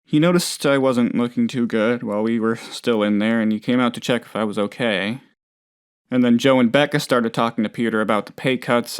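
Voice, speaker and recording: A man's voice: on the low side, clear, resonant tone, close to an everyday voice. A man voicing a nervous, downtrodden factory boss in a video game, hesitant and rambling but earnest. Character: male anxious, bumbling, downtrodden plant boss and ex-worker. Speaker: factory manager character. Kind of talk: video game character voice lines.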